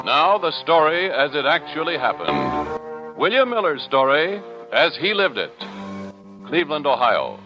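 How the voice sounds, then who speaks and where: An actor as a dramatic radio programme announcer of the 1940s, declaiming emphatically in a stentorian style. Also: male voice, a little high, wildly swooping pitch, a little slow. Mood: grand, urgent. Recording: dull 1940s radio broadcast with music.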